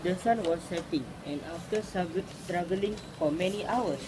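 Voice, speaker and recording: A woman's voice: on the low side, moderate pace. A woman reading a folk tale aloud. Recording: clearly noisy recording with ambient sound.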